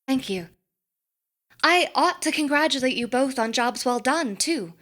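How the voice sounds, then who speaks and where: A polite, guarded woman boss in an audio drama. Her voice is bright and a little high, with formal, composed, measured phrasing. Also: expressive. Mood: conciliatory.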